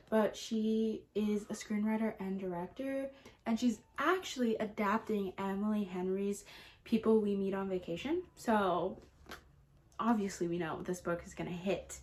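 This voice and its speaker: A woman's voice: mid-range voice, a little mellow. A woman book vlogger talking casually to her viewers in a gushing, emphatic way, often repeating words for emphasis. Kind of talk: casual chatty video talk about books.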